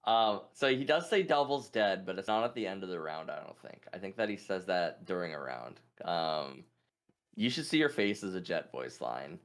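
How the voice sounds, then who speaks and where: A man chatting casually as a quiz contestant, musing out loud in an informal way. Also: low voice, lively intonation, normal speed. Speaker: guest contestant, gaming YouTuber. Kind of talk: casual chat, thinking aloud.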